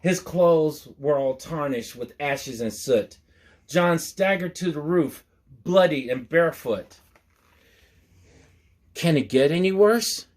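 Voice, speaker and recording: A man's voice: on the high side, slow pace, a little mellow. A man reading a rhyming story aloud as a storyteller, expressively, with folksy, playful asides to his listeners.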